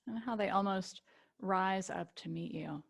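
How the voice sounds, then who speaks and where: A woman teaching yoga nidra, guiding a relaxation meditation with gentle invitations and long pauses. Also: mid-range voice, creaky.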